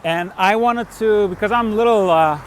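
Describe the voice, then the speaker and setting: A middle-aged man from Turkey and Switzerland tells personal travel stories casually, in a halting, rambling way with trailing thoughts. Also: sits high, a little hiss or hum. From born in Turkey, grew up in Switzerland. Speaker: vlogger, musician and traveler. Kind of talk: casual unscripted personal storytelling.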